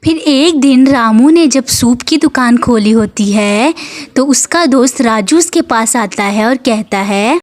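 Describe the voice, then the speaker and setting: Female storyteller for a moral tale, high register, unhurried scripted narration. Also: expressive.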